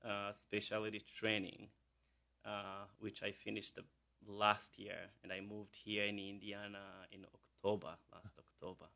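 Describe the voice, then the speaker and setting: A man's voice: on the low side, monotone, a little slow, vocal fry, pushed and bright, lo-fi sound. A man in his thirties from Rwanda with a Rwandan accent, a physician answering radio interview questions with many fillers and restarts. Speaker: physician guest.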